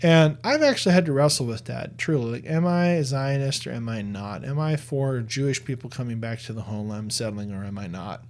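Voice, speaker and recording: A man's voice: mid-range voice, lively intonation, a little fast, hardly any pauses, darkish tone. A man giving earnest religious commentary in a conversational tone, often restarting and repeating words as he makes his case.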